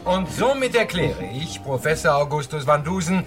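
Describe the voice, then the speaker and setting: A pompous genius professor. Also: male voice, fairly high pitch, sing-song, moderate pace, faint music behind. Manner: pompous, declamatory. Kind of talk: radio drama acting.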